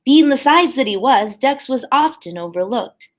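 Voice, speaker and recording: A woman's voice: high voice, lively intonation, moderate pace. Woman teacher reading aloud to children. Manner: simple explanatory asides to children. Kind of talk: expressive picture-book read-aloud for young children.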